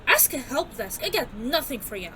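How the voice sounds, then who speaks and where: An actress playing a frightened but defiant informant in an audio drama, snapping and yelling. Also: female voice, high voice, very animated, moderate pace, background noise. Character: scared, defiant female street informant.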